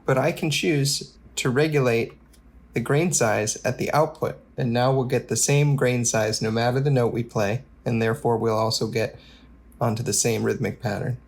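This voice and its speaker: A man's voice: medium pitch, fairly even intonation, moderate pace, vocal fry. A man narrating a technical music device tutorial, explaining each step methodically. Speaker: presenter of an instrument demo. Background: loud demonstrated instrument sounds.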